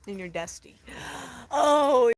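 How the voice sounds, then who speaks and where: A woman from Colorado chatting casually on a live stream outdoors in the desert, rambling, laughing often and dropping into soft asides. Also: sits high, animated, slow pace, no echo, rough recording. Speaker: van-dwelling traveler streaming live.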